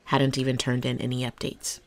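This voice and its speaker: Frank female cop, creaky voice. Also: very deep voice, completely flat delivery, a little fast. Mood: matter-of-fact, candid. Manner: deferential but frank, conversational. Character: loyal, candid female police officer reporting to her captain. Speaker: police officer in a drama. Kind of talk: audio drama acting.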